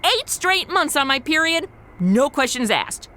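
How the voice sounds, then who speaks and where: Comedy audio drama: very high-voiced, sarcastic young female sleuth, flippant and excitable, lively intonation. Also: measured pace, full character voice. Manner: snarky, flippant, exclamatory. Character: sarcastic, excitable modern young woman amateur sleuth, Madison.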